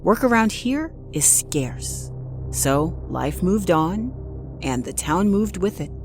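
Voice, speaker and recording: A woman playing a solitary, unflappable night nurse, narrating an audio drama in a measured, confiding way. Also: on the low side, very animated, over music, heavily acted. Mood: calm, brooding. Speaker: actress, narrator. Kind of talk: first-person scripted audio drama monologue.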